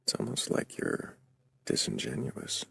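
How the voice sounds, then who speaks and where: A man hosting a livestream debate, speaking slowly in a dry, sardonic manner, often dropping to a near whisper. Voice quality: very low gravelly vocal fry. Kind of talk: livestream chat.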